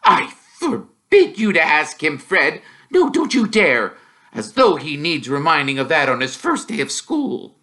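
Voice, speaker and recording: A man's voice: fairly high pitch, wildly swooping pitch, measured pace, bright, forceful tone, pitched above the performer's own voice, heavily acted. A man voicing a kindly but firm mother of a big brood in a storybook, fussing and scolding. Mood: stern.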